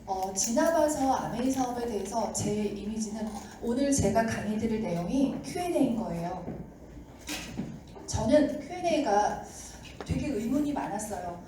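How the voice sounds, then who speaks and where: A woman giving a seminar talk to an audience, slightly hoarse from a sore throat, chatty and acting out the doubts of skeptics. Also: a little high, animated, a little slow. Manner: chatty, acts out doubters' lines. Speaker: seminar speaker. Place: lecture room with audience. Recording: echoey hall mic from far off with noise.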